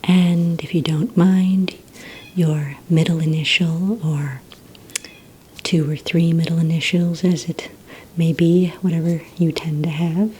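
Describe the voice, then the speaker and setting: A woman whispering softly and breathily in an ASMR roleplay, playing a polite receptionist who asks questions in a gentle, hesitant manner. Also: deep voice, steady tone, a little slow, breathy edge, rough recording. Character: courteous female villain recruitment receptionist.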